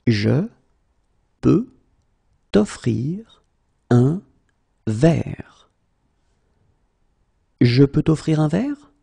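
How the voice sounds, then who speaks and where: A man who is a native French teacher, slowly drilling short phrases, saying each one whole and then word by word. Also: on the high side, very animated, creaky, dark tone, breathy edge. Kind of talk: slow phrase-by-phrase language drill.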